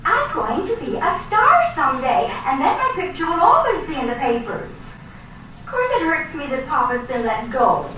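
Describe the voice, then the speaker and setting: High, animated, brash young woman, played by an actress. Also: moderate pace, full character voice. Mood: defiant, self-absorbed. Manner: dramatic, brash. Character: vain, defiant young woman, fame-hungry younger sister. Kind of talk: old-time soap opera acting. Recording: echoey, distant old soap opera recording, faint noise.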